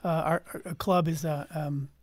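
A man answering casually as an interview guest, halting and repeating his words as he explains. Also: fairly high pitch, fairly even intonation, dry recording. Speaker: guest, audio drama team member.

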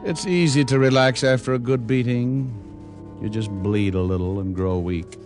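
An actor playing a hard-boiled, wisecracking private detective in vintage radio noir, with a wry, deadpan, slangy delivery. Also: male voice, medium pitch, moderate pace, natural voice. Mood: deadpan narrating. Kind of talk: 1940s-50s radio noir acting and narration. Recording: vintage radio recording with music behind.

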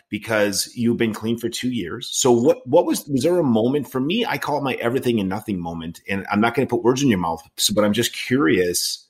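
A man in his late forties hosting a casual interview, talking in an earnest, rambling way with frequent restarts. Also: lowish voice. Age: 40s. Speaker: podcast host in recovery.

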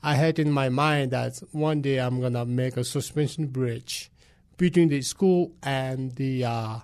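A man's voice: mid-range voice, a little slow, a little mellow, clear, resonant tone. A man from Nepal with a Nepali accent, answering interview questions hesitantly, with many fillers and simple phrasing. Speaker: guest.

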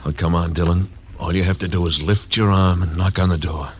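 A terse, deep-voiced marshal, dry wit, old-time radio lead. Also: male voice, steady tone, a little fast, highs cut off, background noise. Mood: wary, dry. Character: tough frontier marshal, dry and wary. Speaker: narrator and lead. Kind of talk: 1940s radio acting.

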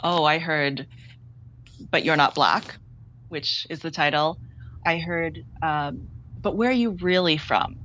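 A woman filmmaker being interviewed remotely, speaking candidly and haltingly with frequent restarts. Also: sits low, a bit bright, muffled recording, slight background noise. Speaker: filmmaker guest. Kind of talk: interview over a remote link.

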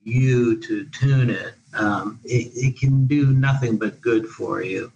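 A man's voice: medium pitch, slow pace, gravelly, a little mellow, dull audio, slight background noise. A man who is a guest expert on an education podcast, talking in long, discursive answers with many restarts. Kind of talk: podcast interview. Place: living in Portugal.

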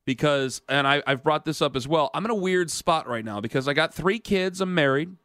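A man's voice: fairly high pitch, brisk, sharp tone, dry recording, studio quality. A man in his thirties hosting a radio sports talk show, rambling in casual banter with self-mocking asides. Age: 30s. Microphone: studio mic.

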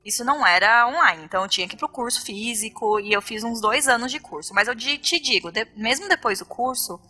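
Woman, 30s, from Brazil; fast, chatty podcast guest, higher voice. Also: slightly rough. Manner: lively, chatty, quick-talking. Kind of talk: casual podcast chat.

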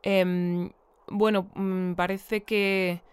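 An actress with a Spain accent playing an earnest, polite news bulletin presenter in an audio fiction mock newscast. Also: female voice, medium pitch, flat delivery, very slow, clear, resonant tone, no echo. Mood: puzzled, concerned. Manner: polite, hesitant when questioning.

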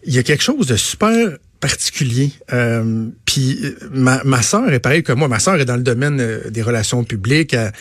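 Quebecois guy late 30s doing casual radio banter, regular pitch, repeats words as he goes, really dry close sound. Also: lively intonation, a little fast, hardly any pauses, no echo. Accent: Québécois French. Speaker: radio co-host.

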